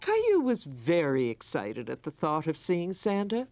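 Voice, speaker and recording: A woman's voice: low voice, huge pitch swings, measured pace, a little mellow, heavily acted. A woman narrating a children's cartoon as a kindly storybook narrator, in a scripted storytelling style.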